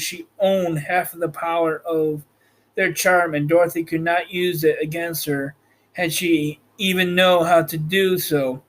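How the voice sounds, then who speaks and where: A man narrates a storybook at a slow pace. He reads from a script in a halting way, sometimes tripping on a word and taking it again. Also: high-pitched, fairly bright tone, poor audio. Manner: halting, stumbles over words while reading. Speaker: audiobook narrator and host.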